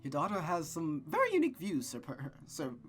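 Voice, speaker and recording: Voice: medium pitch, huge pitch swings, a little fast, faint music behind. A reader voicing a mild, embarrassed father from a visual novel, speaking politely and hesitantly to his superior. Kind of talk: visual novel read-aloud character voice.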